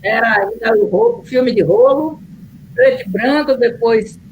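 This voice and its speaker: A middle-aged woman, a guest on an interview who talks warmly about her past with many small pauses. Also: a little high, highs cut off, a little hiss or hum. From Bahia, Brazil. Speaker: guest, nurse and city councillor. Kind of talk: casual interview chat.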